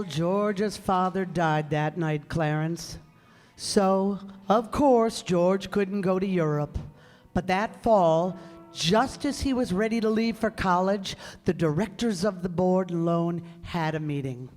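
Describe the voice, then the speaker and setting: A man playing a calm heavenly storyteller in a radio play, explaining things in a measured way. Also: sits high. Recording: echoey hall stage, music behind. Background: faint music.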